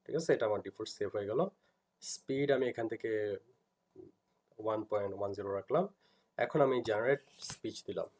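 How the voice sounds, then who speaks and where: A man with a Bangladeshi accent giving an informal software tutorial, explaining step by step and repeating himself as he teaches. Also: lowish voice, normal speed, long pauses.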